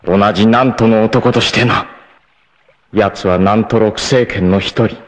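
Male actor as doomed martial-arts warrior, proud, devoted, dramatic, unhurried speech, slightly low pitch, 1980s anime. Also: fairly even intonation, heavy background noise, lightly acted. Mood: grim resolve. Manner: solemn, dramatic, deliberate delivery with intense outbursts.

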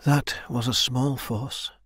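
A man's voice: mid-range voice, steady tone, breathy edge, no room sound, clean studio sound. Man voicing a weary, solemn old female elder. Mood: grave warning. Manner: solemn, measured, quiet. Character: weary, dying female elder, grave dragon mentor.

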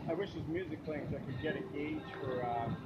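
A man steering a sailboat outdoors, giving brisk sailing calls in casual chat, recorded on a camera on the boat. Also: fairly high pitch, over loud music. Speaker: sailboat skipper. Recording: dull lo-fi sound, loud wind and water.

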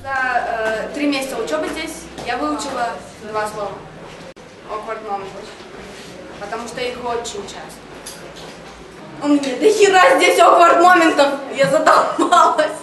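Young woman from kyrgyzstan, frank, fed-up, highish voice, far off in an echoey stairwell. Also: normal speed. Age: young adult. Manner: frank, exasperated. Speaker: international student. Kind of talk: casual interview. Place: stairwell indoors. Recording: distant echoey stairwell with hiss.

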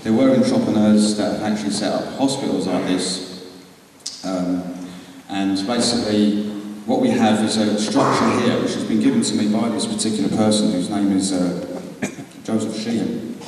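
British man, 40s, halting conference speaker; lowish voice, distant, very roomy hall sound. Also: fairly even intonation, darkish tone, a little hiss or hum. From England. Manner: halting, many ums and uhs. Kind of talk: public talk. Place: large hall on a stage. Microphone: handheld mic. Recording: echoey hall from far off.

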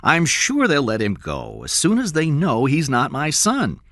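Man acting a brusque, forceful rich businessman. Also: on the high side, wildly swooping pitch, measured pace, no room sound, studio quality, voice pushed up, heavily acted. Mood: brusque, assured. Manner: assertive, sharp. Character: confident, brusque wealthy businessman father.

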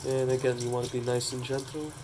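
Stuffy-voiced man with a flat delivery. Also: mid-range voice, noisy background. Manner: relaxed, halting, with restarts and self-corrections. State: stuffy from a cold. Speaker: hydroponics gardening channel host. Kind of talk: casual how-to demonstration. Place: home kitchen at a running sink. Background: running tap water.

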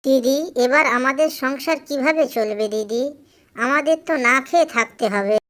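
A woman's voice: high voice, measured pace, a bit bright. A woman voicing a caring, earnest young female bird in a children's cartoon, with an emotive delivery. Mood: distressed.

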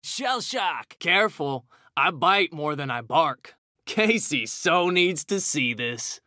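Bright, cocky New turtle brawler. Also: male voice, a little high, sing-song, slow, dry recording, studio quality, heavily acted. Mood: cocky, taunting, then brash, combative. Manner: brash, slangy trash talk. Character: cocky hot-tempered young male mutant ninja turtle brawler. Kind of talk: video game fighter taunts.

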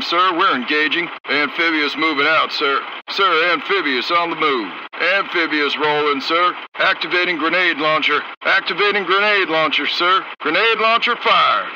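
A man's voice: high-pitched, lo-fi sound, background noise. An actor playing a dutiful, eager armored vehicle crewman, giving clipped military callouts for a video game. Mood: dutiful, ready. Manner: clipped, forceful military delivery.

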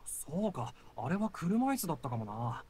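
A man's voice: fairly high pitch, big pitch swings, normal speed, a little mellow, breathy edge. A man voicing a puzzled young townsman in an anime game, thoughtful and uncertain. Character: casual young townsman, puzzled passer-by witness.

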